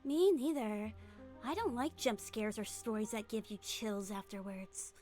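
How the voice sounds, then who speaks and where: A woman voicing a calm, polite young magician's assistant and caring sister in a video game fan dub, in an understated way. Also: a little high, unhurried, soft background music, lightly acted. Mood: calm, matter-of-fact.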